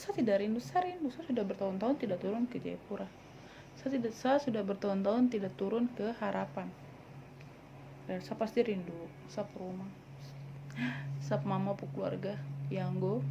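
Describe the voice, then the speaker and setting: A woman's voice: medium pitch, creaky, noisy background. A woman in her twenties with a Papuan Indonesian accent, answering viewer questions in a blunt, teasing vlog and dropping in English words. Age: 20s. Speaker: vlogger. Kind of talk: casual Q&A vlog.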